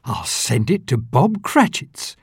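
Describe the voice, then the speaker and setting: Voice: medium pitch, slow, vocal fry, breathy edge, no room sound, studio quality, exaggerated voice. A reader voicing an overjoyed old miser, calling out eagerly and exclaiming. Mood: giddy. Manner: excited, exclaiming.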